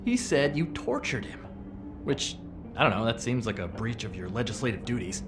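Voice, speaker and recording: A cocky male troublemaker in a video game. He needles people sarcastically, stretching words in a lively, swinging mid-range voice over soft music. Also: mid-range voice. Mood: mocking, smug. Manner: sarcastic, goading, drawn-out emphasis.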